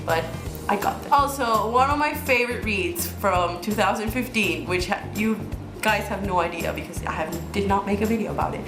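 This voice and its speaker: A young woman from Mexico whose first language is Spanish, rambling chattily through a casual book haul vlog with frequent restarts. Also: medium pitch. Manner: chatty, rambling, many restarts and repeated words. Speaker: book vlogger. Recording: roomy sound with music underneath.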